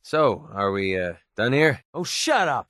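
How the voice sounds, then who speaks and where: A man's voice: medium pitch, big pitch swings, measured pace, fairly bright tone, dry recording, clean studio sound. An actor playing a cocky, sarcastic young male mercenary in a video game, talking in a casual, snarky way. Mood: exasperated. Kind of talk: video game companion dialogue.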